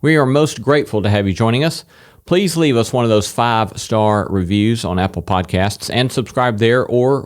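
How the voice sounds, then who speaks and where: A man hosting and interviewing for a podcast, presenting in a measured, deliberate announcer's way. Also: fairly low pitch. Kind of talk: hosting and presenting a public theology show.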